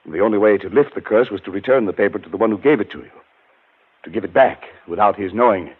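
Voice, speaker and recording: An actor playing a haunted scholar who narrates his own tale in a grave, measured 1940s radio drama style. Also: on the low side, husky. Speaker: narrator and lead character. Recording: tinny old radio broadcast.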